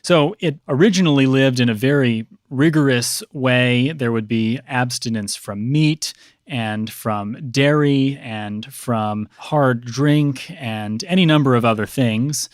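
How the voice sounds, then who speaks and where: A man speaking as a priest and podcast guest, explaining religious customs conversationally in measured, scholarly, precise wording. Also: mid-range voice, no room sound, studio quality.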